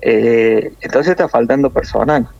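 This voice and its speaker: A man from Córdoba with a Cordoban Argentine accent, a nurse explaining things in a measured way with many hesitations over a phone interview. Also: medium pitch, gravelly, a little mellow, no echo, dull audio. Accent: Cordoban Argentine Spanish.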